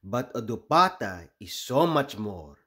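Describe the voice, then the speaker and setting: A man from the Philippines reading a children's picture book aloud expressively, pausing deliberately between short phrases. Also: medium pitch, sing-song, pushed and bright. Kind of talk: expressive storybook read-aloud.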